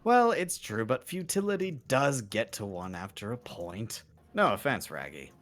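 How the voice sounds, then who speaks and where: A man's voice: mid-range voice, sing-song, normal speed, a bit bright. A man chatting casually as a player in an online tabletop roleplay game.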